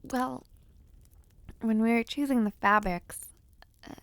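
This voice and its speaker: A young noblewoman, played by a woman in an intimate audio roleplay, talks slowly and playfully in a close, dry recording. She is teasing yet bashful, pausing hesitantly, with a creaky fry in her voice. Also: a little high, fairly bright tone, no echo. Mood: bashful, pleased. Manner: playful, hesitant, with pauses and sighs. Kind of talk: intimate audio roleplay, one-sided conversation.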